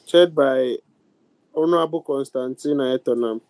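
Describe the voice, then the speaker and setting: A man with a Ghanaian accent chairing a youth parliament sitting in a formal, deliberate, halting way on a video call. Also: medium pitch, a little mellow, no echo. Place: virtual meeting over video call.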